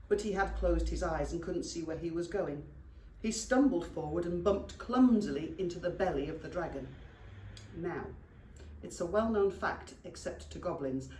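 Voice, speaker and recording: A woman's voice: fairly low pitch, slight background noise. A British woman reading a children's story expressively to young pupils, like a teacher at storytime. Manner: animated, addresses young listeners fondly. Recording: roomy echo, distant microphone.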